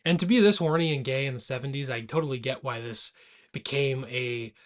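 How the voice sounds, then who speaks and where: A man's voice: mid-range voice, fairly quick. A man co-hosting a podcast, discussing movies informally and rambling as he corrects himself mid-sentence. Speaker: podcast co-host.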